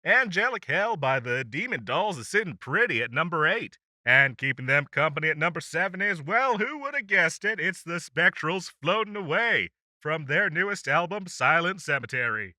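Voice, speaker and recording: A man's voice: a little high, expressive, moderate pace, hardly any pauses, sharp tone, no echo, studio quality. A man playing an upbeat demon radio host, chatting through a chart countdown in showy, teasing announcer patter for an audio roleplay. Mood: playful, teasing.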